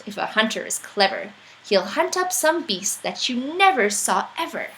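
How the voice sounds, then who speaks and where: A woman's voice: fairly high pitch, a bit bright, slight background noise, poor audio. A woman voicing an eager, boastful, imaginative young boy in a storybook, with emphatic, sing-song rhyming delivery.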